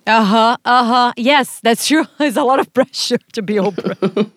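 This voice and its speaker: A woman's voice: fairly high pitch, over music. Enthusiastic woman host. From Brazil. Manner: enthusiastic, warm reactions, slows when serious. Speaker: podcast host. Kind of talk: casual interview chat.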